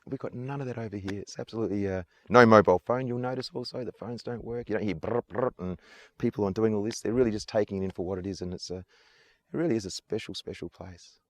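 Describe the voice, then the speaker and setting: An Australian tour guide speaks at a brisk clip in a deep, low voice. He talks with earnest enthusiasm and comes back to the same praise again and again. The recording is dry, with no room echo. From Adelaide, South Australia. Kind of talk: casual interview answers. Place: outdoors on an island.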